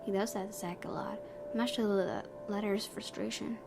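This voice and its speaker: A woman's voice: medium pitch, steady tone, normal speed. A woman reading visual novel narration aloud for a let's play, stumbling over words now and then and adding casual comments. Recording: clearly noisy home recording.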